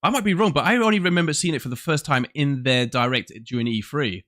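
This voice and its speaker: A man's voice: fairly high pitch, fast talker, a bit bright. A man in his forties hosting a casual gaming chat show, rambling with lots of restarts and reading from the live chat. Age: 40s. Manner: rambling, many restarts, laughs often. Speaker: podcast host. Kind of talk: casual video game chat.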